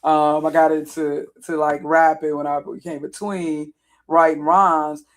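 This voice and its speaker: Raspy deep-voiced woman in her fifties. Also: little pitch movement, measured pace. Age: 50s. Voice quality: deep contralto voice; worn, raspy voice. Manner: rambling and digressive, with frequent self-corrections. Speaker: vlogger. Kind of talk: casual personal storytelling to camera.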